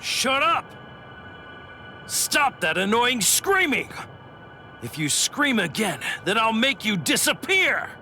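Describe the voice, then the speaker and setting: Anime warrior villain. Aggressive, curt, mocking. Forceful bright edge, widely swinging delivery. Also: male voice, mid-range voice, big pitch swings, unhurried, faint music behind. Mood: furious, impatient. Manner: curt, taunting, bossy. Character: brash, aggressive warrior villain, hot-tempered and predatory. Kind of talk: English anime dub acting.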